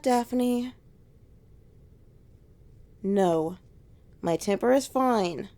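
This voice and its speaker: A woman acting as a tsundere alpha she-wolf in a romance audio roleplay. Her voice is high and soft-edged, mellow in tone, and she takes her time. She is bossy and teasing on top, easily flustered underneath. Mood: annoyed, curt.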